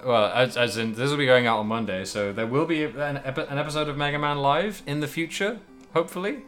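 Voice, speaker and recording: A man's voice: mid-range voice, fast, a bit bright, faint music behind. A man co-hosting a podcast, chatting casually about video games. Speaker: podcast co-host.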